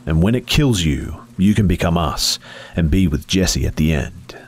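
A man voicing a faceless, vengeful static phantom with a buzzing, hissing voice and a slow, solemn, menacing delivery. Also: deep voice, lively intonation, slightly rough, full character voice.

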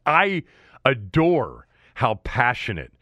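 A man's voice: mid-range voice, huge pitch swings, slow pace, sharp tone, no echo. A man hosting a sports talk show, delivering an opinionated, unscripted monologue in a conversational way and repeating figures for emphasis.

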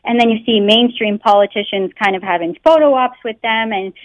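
A woman's voice: on the high side, a little fast, no room sound. A Canadian woman, a guest researcher, speaks earnestly in a phone interview, often filling with "you know" and "um". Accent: Canadian English. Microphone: telephone. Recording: muffled phone line.

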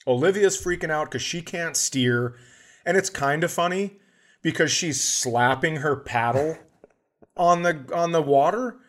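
A man's voice: on the high side, unhurried, fairly bright tone. Man telling a profane, comedic story. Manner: profane, exasperated retelling with acted-out dialogue. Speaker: podcast host.

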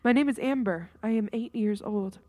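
A woman voicing a frightened eight-year-old ghost girl in a scary story, urgent, halting and pleading. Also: a little high, a little mellow, dry recording, natural voice.

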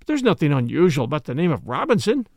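An earnest gentleman sidekick, acted by a male reader, exclaims excitably. His voice is high and animated, in the style of a storybook detective tale. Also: quick pace, dry recording, clean studio sound. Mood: protesting. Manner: alarmed, exclaiming.

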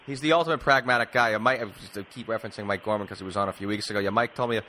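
A man's voice: lowish voice, very fast, bright, forceful tone, a little hiss or hum. A man hosting a casual sports talk podcast, hedging and circling around his questions as he goes. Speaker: sports podcast host, interviewer.

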